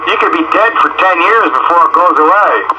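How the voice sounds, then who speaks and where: A man's voice: fairly high pitch, expressive, brisk. An American man chatting casually over two-way radio as a ham operator. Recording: noisy muffled two-way radio transmission.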